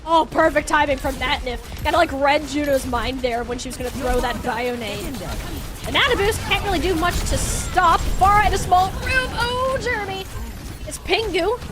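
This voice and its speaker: A woman doing animated live esports play-by-play commentary. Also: high-pitched, moderate pace, over music. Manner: animated, exclamatory.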